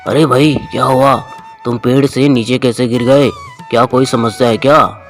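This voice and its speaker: A man voicing a kindly, generous village farmer in a cartoon moral story, with a gentle, concerned manner. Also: mid-range voice, fairly even intonation, moderate pace, natural voice. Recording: music bed under the voice.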